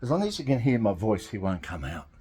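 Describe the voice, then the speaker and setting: A man's voice: fairly low pitch, sing-song, fast, a little mellow. A man, talking casually to camera from outdoors by a garden, rambling and repeating himself. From Australia. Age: 60s. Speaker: vlogger.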